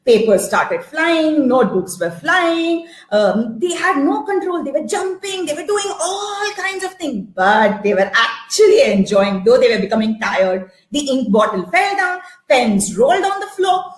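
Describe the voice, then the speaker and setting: Female storyteller, high voice, slow theatrical delivery with repeated phrases, roomy live-stream sound. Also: animated. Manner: theatrical, repeats phrases for effect, checks in with listeners. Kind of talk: live interactive folktale storytelling with songs and actions.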